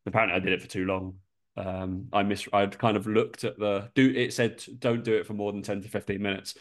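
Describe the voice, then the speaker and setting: A man's voice: fairly low pitch, flat delivery, brisk. A man co-hosting a podcast, chatting casually and swearing freely, with a slightly nasal voice from a lingering cold. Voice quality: sweary, laughs often. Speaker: podcast co-host. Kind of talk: casual chat.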